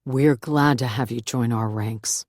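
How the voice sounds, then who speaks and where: A woman doing a soft-spoken, gently warm pastor. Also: extremely low pitch, no echo, clean studio sound, pitched below the performer's own voice, full character voice. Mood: welcoming. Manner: gentle, soothing and unhurried. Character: warm, patient male pastor, middle-aged.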